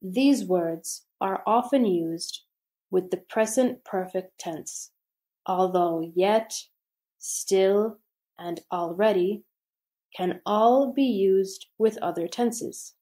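A woman reading a scripted grammar lesson aloud, slowly and deliberately with pauses between phrases. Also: mid-range voice, mellow. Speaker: English teacher.

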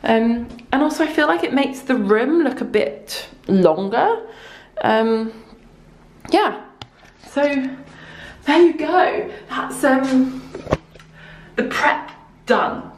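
A woman's voice: high-pitched, lively intonation, slow pace, pauses often. Chatty woman in an echoey kitchen. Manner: chatty, upbeat, many ums, chats to her toddler in between. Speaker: home renovation vlogger. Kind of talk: casual vlog to camera. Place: half-renovated house, echoey bare kitchen. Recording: echoey room, faint noise.

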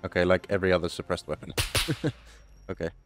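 A man with a hoarse voice, casually talking through a game update and thinking aloud as he plays. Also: low-pitched, flat delivery, quick pace, music in the background. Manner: chatty, thinking aloud, self-correcting. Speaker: gaming channel host. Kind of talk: casual gameplay commentary.

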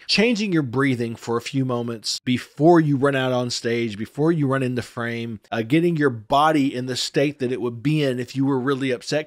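A man's voice: mid-range voice, no room sound. A man around his forties hosting a conversational, critical commentary, stressing points with repeated phrases, close to a studio microphone. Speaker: host of a TV commentary show. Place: small indoor home studio. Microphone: close microphone on a stand, headset worn.

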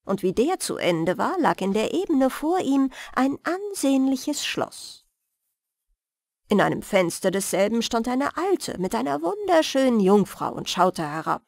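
A woman's voice: fairly high pitch, big pitch swings, a little slow, vocal fry, darkish tone, dry recording, clean studio sound. A woman reading a fairy tale aloud as a soothing bedtime audiobook narration. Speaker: audiobook storyteller.

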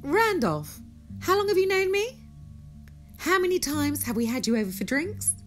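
A woman's voice: high-pitched, sing-song, normal speed, soft background music. A woman acting as a well-to-do, composed but defensive wife and hostess in an audio drama.

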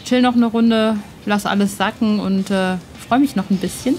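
A woman talks as a podcast host in a casual, enthusiastic, slangy way. Her voice sits at a medium pitch. She is recorded outdoors, with noticeable ambient noise around her. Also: fairly even intonation, normal speed, darkish tone. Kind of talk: casual interview chat. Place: outdoors on a bench in a cemetery. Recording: clear outdoor background noise.

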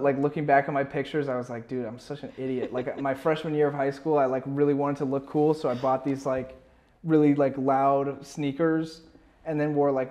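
A man's voice: medium pitch, a little mellow. A young man talking casually as an interview guest, rambling and slangy, peppering his sentences with "like". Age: young adult. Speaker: musician guest. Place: small furnished indoor room. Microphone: clip-on lapel mic.

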